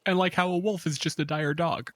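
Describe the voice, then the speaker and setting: A man's voice: on the high side, fairly even intonation, brisk, a bit bright, no echo. A man running a tabletop game as game master, narrating in a conversational, joking way.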